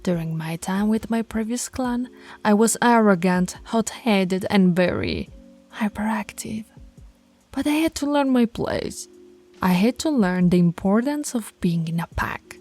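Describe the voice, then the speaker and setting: A woman voicing a dominant female alpha werewolf in an intimate roleplay, commanding yet affectionate, with a breathy, husky voice and slow, deliberate delivery. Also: mid-range voice, animated, a little mellow, soft background music. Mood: earnest, tender. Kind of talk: intimate ASMR roleplay voice acting.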